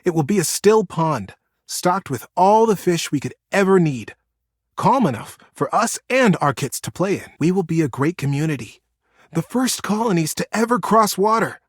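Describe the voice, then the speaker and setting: A man's voice: high-pitched, animated, moderate pace, dry recording, clean studio sound, voice pushed up. A man voicing a jubilant, idealistic young male beaver builder in a storybook tale, with an eager, effusive, grandiose manner. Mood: eager, proud.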